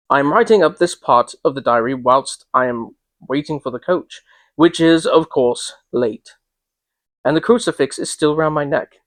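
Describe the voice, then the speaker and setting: A man reading and discussing a classic novel as host, in an informal, measured, slightly hesitant way. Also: on the high side, no echo. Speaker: reader and host. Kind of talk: dramatic literary reading with casual commentary.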